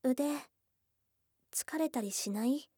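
A high, girlish goblin sweetheart, played by a woman for an ASMR voice drama. She speaks slowly and very close in a dry, intimate space. Her words are blunt and tomboyish, yet she sounds bashful, flustered and affectionate, often softening into breathy murmurs. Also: dry recording, heavily acted. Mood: bashful, tender. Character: shy, tsundere young goblin girlfriend, bashful and affectionate. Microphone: close ASMR microphone.

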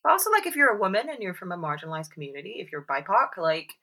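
Low-pitched, bright-toned chatty woman. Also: big pitch swings, rapid-fire. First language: English. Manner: chatty, many fillers and "like"s; acts out quoted voices. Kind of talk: casual chat.

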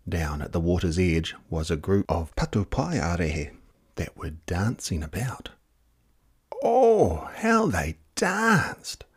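A man reading a children's picture book expressively, dramatic, with hushed and drawn-out words. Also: deep voice, very animated, husky. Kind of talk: expressive children's story narration.

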